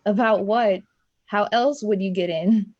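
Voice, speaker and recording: A woman's voice: mid-range voice, unhurried, close to an everyday voice. An actress playing a friendly, shy woman in her twenties in an online play reading, casual and hesitant. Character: friendly, shy 23-year-old woman working as lobby attendant. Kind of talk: naturalistic acting in an online staged play reading. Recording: dull, lo-fi online recording.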